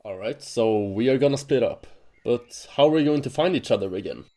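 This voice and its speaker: An actor playing a dry, bossy winged sea hunter, wry and chiding, in a fantasy audio drama. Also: male voice, mid-range voice, animated, a little fast, warm tone, natural voice. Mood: matter-of-fact.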